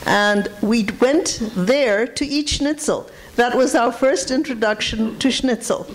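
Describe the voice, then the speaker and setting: Older lady from shanghai, voice a bit high, telling old stories to an audience, stresses words by repeating them. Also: slow, low-quality recording. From Shanghai, China. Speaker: guest speaker at a public food history talk. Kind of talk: informal spoken reminiscence to an audience.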